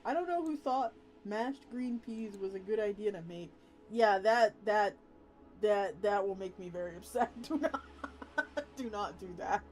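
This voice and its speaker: A woman VTuber chatting and reacting on a livestream in an excitable way, prone to blurting exclamations and swearing. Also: medium pitch, a little slow. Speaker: VTuber streamer. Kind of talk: livestream reaction chat and reading aloud. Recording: loud music behind the voice. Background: music.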